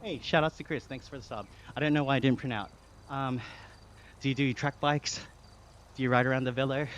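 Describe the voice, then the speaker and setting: A man's voice: mid-range voice, steady tone, a little fast, fairly bright tone, slight background noise. A man with an Australian accent chatting casually as a live streamer, slangy, reading chat aloud and thanking viewers. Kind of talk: casual livestream chat over gaming.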